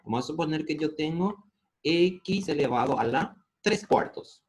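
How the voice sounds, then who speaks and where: A man's voice: a little high, expressive. A man with a Paraguayan Spanish accent teaching integrals step by step, asking the class questions and answering them himself. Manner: asks the class questions and answers them, slows down over formulas. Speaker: math teacher.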